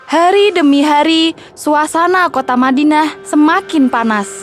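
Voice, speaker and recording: High-voiced woman storyteller. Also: unhurried, soft background music. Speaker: narrator. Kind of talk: scripted children's story narration.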